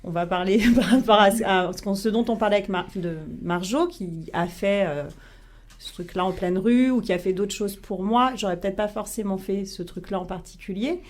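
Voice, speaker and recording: A woman hosting a casual radio discussion among friends, chatty, laughing often, trailing off softly at the ends of thoughts. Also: medium pitch, normal speed. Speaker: host.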